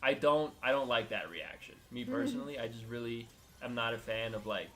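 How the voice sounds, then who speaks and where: A man's voice: medium pitch, very animated, brisk, a bit bright. A young man from Miami chatting casually as a co-host, rambling with lots of filler words and restarts. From Miami, United States. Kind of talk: casual chat.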